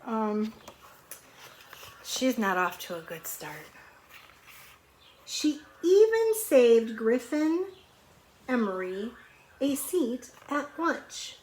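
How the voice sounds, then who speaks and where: Female teacher, higher-pitched and unhurried, expressive storybook read-aloud with chatty questions for kids, faint background noise. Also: rough recording. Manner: expressive, questioning, conversational with children. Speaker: preschool or elementary teacher reading aloud. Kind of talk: storybook read-aloud with asides to young children.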